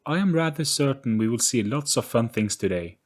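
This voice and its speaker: A man's voice: mid-range voice, animated, fairly quick, voice pushed up, natural voice. A man voicing a hopeful, cheerful young girl in an audio story, speaking earnestly.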